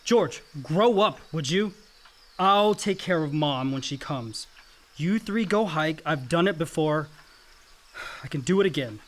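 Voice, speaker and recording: A man's voice: on the high side, expressive, a little slow. A man acting an earnest, dutiful young adult son, the family peacemaker, in a naturalistic radio play. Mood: exasperated.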